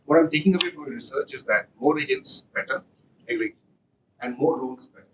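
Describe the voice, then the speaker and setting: A man in the audience asking direct questions at a workshop. He speaks loudly and gets straight to the point. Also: on the high side, a little fast, a little hiss or hum, rough recording. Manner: loud, direct. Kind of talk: conference question.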